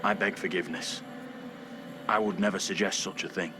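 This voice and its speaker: An actor playing a troubled, hesitant villager bearing witness in a period video game drama, humble, earnest and formal. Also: male voice, deep voice, fairly even intonation, normal speed, music in the background, close to an everyday voice. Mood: earnest, pleading.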